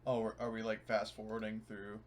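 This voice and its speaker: A man's voice: lowish voice, completely flat delivery, brisk, very noisy. A man talking casually as a player in a tabletop game. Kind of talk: casual chat.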